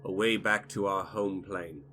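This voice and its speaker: A man's voice: low-pitched, steady tone, unhurried, sharp tone, faint music behind. A man playing a cowering adventurer in a tabletop fantasy game, speaking earnestly and hesitantly. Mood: anxious, pleading. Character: cowering male adventurer pleading for a way home.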